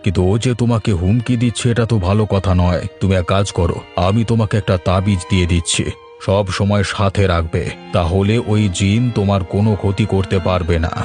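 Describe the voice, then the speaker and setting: A man's voice: low voice, steady tone, brisk, darkish tone, faint music behind, natural voice. A man voicing a middle-aged village man in a cartoon, with an earnest, dramatic delivery. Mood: calm, reassuring. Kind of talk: cartoon voice acting.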